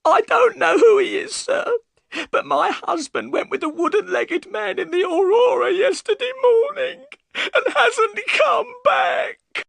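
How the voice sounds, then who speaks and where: A man's voice: very high-pitched, slow, gravelly, fairly bright tone, no room sound, pitched above the performer's own voice, heavily acted. A man voicing a worried, upset boatman's wife, plaintive and deferential, in an audiobook story. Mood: tearful, distraught.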